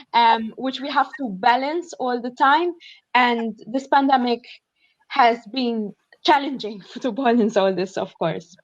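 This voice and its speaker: A woman's voice: a little high, no echo, highs cut off. A young woman from Malta with a Maltese accent, speaking at an online student panel in an earnest way, with many fillers as she thinks aloud. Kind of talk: online panel talk, reading out notes. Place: shared flat, at home. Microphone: computer mic on a video call.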